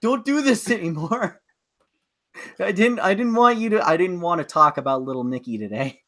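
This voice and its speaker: A man's voice: high-pitched, expressive, normal speed, bright, forceful tone. A man co-hosting a podcast in casual chat, ranting loosely with swearing and plenty of filler words. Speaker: podcast co-host.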